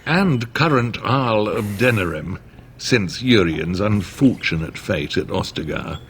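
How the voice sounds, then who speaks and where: Smug aristocratic villain, fantasy game. Low, unhurried, insinuating, with swooping intonation. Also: male voice, animated, a little hiss or hum. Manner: drawling, insinuating. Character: smug, oily male nobleman villain. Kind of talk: dramatic fantasy game acting.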